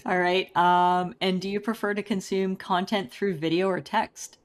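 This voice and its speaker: A woman's voice: mid-range voice, unhurried. A woman hosting a podcast interview in a bubbly, upbeat way, laughing often. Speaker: podcast host.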